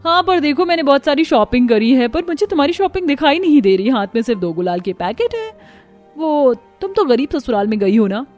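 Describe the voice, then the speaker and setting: A woman's voice: high-pitched, big pitch swings, a little fast, darkish tone, soft background music. A woman voicing a spoiled, newly married rich daughter-in-law in a cartoon story, boastful, scornful and snappy. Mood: smug, boastful. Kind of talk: Hindi cartoon story dialogue.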